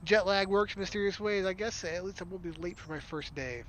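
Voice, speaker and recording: A man's voice: high voice, fairly quick, a little hiss or hum. A man reading a visual novel aloud as a let's player, in a casual first-person manner. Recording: loud background music under voice.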